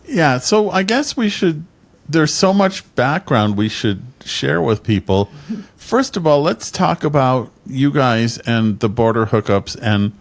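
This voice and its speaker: A man hosting a casual podcast interview, upbeat and quick to laugh between questions. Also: medium pitch, expressive. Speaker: podcast host.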